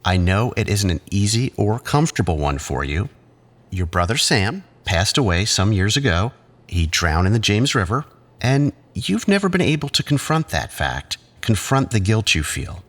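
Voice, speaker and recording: A male actor playing a condescending, controlling psychiatrist who talks in patronizing, measured therapy-speak in an audio drama. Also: lowish voice, very animated, fairly bright tone. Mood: smoothly patronizing.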